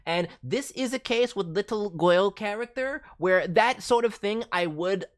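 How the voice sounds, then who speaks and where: A man's voice: high-pitched, expressive, moderate pace. A man voicing an eager, encouraging cartoon raccoon mascot who hosts with cutesy, playful wording in casual livestream commentary. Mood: impressed, encouraging. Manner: cutesy playful word forms. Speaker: VTuber host reviewing voice demo reels.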